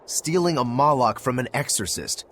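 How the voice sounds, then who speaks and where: A man voicing a composed, wry male companion in a video game, dry and measured. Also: mid-range voice, lively intonation, fairly bright tone, a little hiss or hum, lightly acted. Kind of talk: video game cutscene voice acting.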